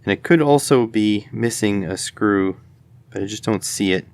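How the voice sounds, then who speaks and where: A man's voice: lowish voice, normal speed, a little mellow, no room sound. A man giving casual hands-on commentary as host of an electronics teardown video, tentatively thinking aloud as he goes.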